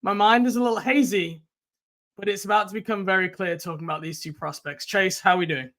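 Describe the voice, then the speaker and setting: A young English man hosting a sports podcast in casual chat, his voice croaky. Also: sits high, fast, sharp tone. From England. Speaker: podcast host.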